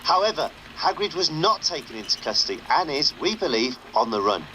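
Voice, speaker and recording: Bright, wry radio presenter. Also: male voice, on the high side, big pitch swings, fairly quick, slight background noise, rough recording. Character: chatty, wry male underground radio presenter. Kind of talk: radio broadcast acting in a game.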